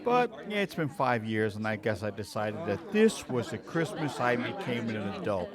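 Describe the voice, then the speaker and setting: A man playing an awkward, homesick young accountant in a live stage radio play, animated and stammering. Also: medium pitch, moderate pace, over a noisy crowd, natural voice. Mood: wistful, candid. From New York. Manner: animated, stammering, forced cheer slipping into candor. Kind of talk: live stage audio drama before an audience. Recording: live stage show, music, audible audience. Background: music and audience.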